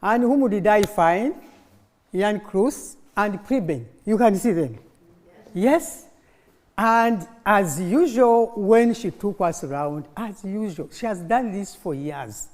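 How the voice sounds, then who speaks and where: Mature female conference speaker, Ugandan accent, native Luganda, unhurried delivery, animated storytelling in a reverberant hall. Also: mid-range voice. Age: middle-aged or older. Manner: animated storytelling, prompting listeners with questions. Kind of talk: informal conference speech with audience call-and-response. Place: conference hall, live talk to an audience. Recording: echoey hall, long reverb.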